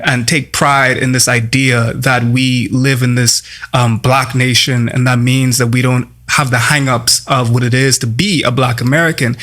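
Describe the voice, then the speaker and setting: A young man speaking as a panel guest in a roundtable discussion, earnest and deliberate with filler pauses. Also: mid-range voice, fairly bright tone. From Bahamas.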